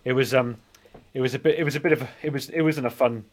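A man's voice: medium pitch, fairly even intonation, fast. A man in his forties rambling through casual film banter as a podcast co-host. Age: 40s.

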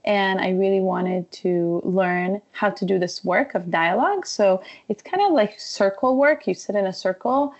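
A woman's voice: mid-range voice, moderate pace, few pauses, muffled recording. A woman from Israel, a wellness coach, speaking as a podcast guest and telling stories animatedly. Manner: animated storytelling, frequent laughs. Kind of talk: conversational interview.

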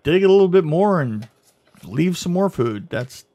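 A man's voice: high voice, expressive, unhurried, dark tone, no room sound. A man co-hosting a podcast, chatting casually with many restarts and wry jokes. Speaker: podcast co-host. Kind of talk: casual chat reviewing a TV series.

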